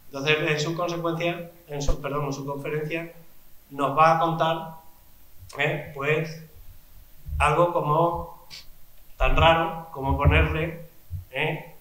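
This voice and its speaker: A man introduces a guest speaker at a conference in a measured, explanatory manner. His voice is a touch higher than most men's. The recording has the echo of a large lecture hall. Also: steady tone, fairly bright tone. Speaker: event presenter.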